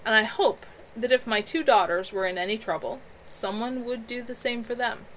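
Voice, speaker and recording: A woman's voice: on the high side, moderate pace, a little hiss or hum, natural voice, low-quality recording. A woman voicing a kindly, motherly witch who welcomes guests firmly and brooks no argument. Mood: brisk, kindly. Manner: warm but firm, brooks no argument.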